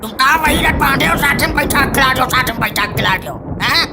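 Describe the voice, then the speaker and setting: A woman playing a stubborn, scolding old grandfather in a cartoon comedy, with a rustic eastern Uttar Pradesh accent and a creaky voice. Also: high-pitched, a little fast, noisy background, lightly acted. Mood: angry scolding. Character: stubborn, hot-tempered old Brahmin grandfather.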